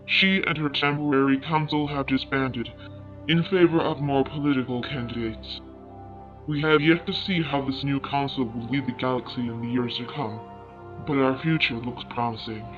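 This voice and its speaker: A man playing a formal, hopeful alien chronicler, delivering measured scripted narration for a sci-fi audio story. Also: mid-range voice, steady tone, close to an everyday voice. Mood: formal, reporting. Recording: thin phone-like sound with music behind. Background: music.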